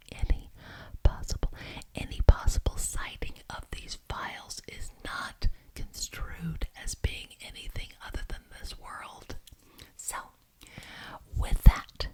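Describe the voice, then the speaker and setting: A woman performing an ASMR roleplay as a genteel, officious witch, speaking in a breathy whisper and deliberately repeating words for emphasis. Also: low-quality recording. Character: genteel, officious witch chairing a neighborhood meeting.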